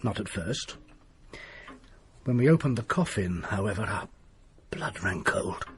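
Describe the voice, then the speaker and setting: An actor playing a secretive country doctor who tells a grim tale in a grave, hushed manner. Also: male voice, fairly low pitch, expressive, a little slow, a little hiss or hum. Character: desperate, secretive middle-aged male country doctor. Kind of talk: period radio drama acting.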